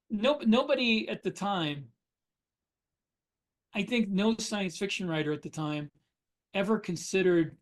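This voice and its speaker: A man co-hosting a podcast as a writer, bantering casually with a wry, jokey way of talking. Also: high voice. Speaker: podcast co-host and science fiction writer.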